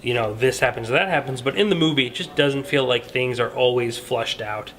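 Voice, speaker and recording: A man's voice: medium pitch, fairly quick, a bit bright. A man talking through his opinions on a film in a casual, earnest, conversational way, hedging as he goes. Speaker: film review show host.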